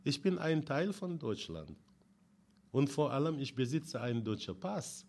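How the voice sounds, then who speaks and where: Lively-voiced man, chatting. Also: medium pitch, unhurried. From Senegal. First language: not German. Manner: warm, repeats short affirmations, laughs often. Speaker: guest. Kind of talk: casual conversation.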